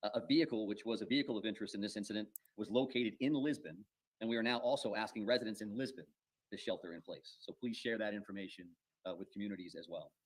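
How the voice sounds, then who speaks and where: A man speaking as a public safety official, giving a formal press briefing in measured officialese. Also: on the low side, breathy edge.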